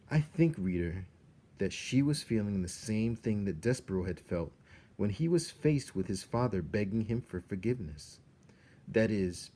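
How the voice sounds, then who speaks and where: A man reading a children's novel aloud in an expressive, measured way, with dramatic pauses. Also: lowish voice, husky. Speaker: storybook reader.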